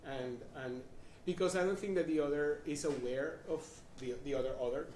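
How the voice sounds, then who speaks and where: A man on a discussion panel speaking in a thoughtful, halting way. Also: fairly high pitch, recorded from a distance, noisy background. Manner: thoughtful, halting, restarts phrases. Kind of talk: reflective panel talk. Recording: roomy, from off-mic.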